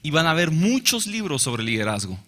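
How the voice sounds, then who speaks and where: A man interpreting a Bible lecture into Spanish, following the speaker phrase by phrase in a brisk, fluent way. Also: fairly high pitch, sing-song, fairly bright tone. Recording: reverberant hall.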